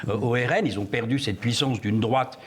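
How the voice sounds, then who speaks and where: Homme polémiste, ton appuyé et sardonique. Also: male voice, medium pitch, brisk, a little hiss or hum. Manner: emphatic, sardonic debater. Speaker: panel guest. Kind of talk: televised political debate.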